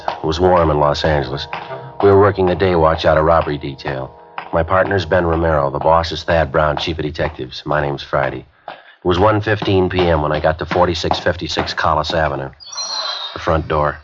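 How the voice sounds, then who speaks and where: An actor playing a terse, matter-of-fact police detective sergeant in a 1950s radio drama, with clipped delivery. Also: male voice, extremely low pitch, fairly quick, music in the background. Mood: flat narration. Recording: muffled 1950s radio broadcast.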